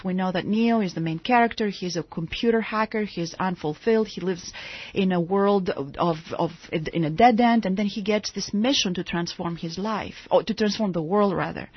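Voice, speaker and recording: Greek-born woman guest, breathy and dry, dull radio sound, halting storytelling. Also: mid-range voice, no room sound. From Greece. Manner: storytelling, hesitant with fillers and restarts. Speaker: guest author and therapist. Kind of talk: radio interview. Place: radio studio. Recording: dull lo-fi radio studio audio.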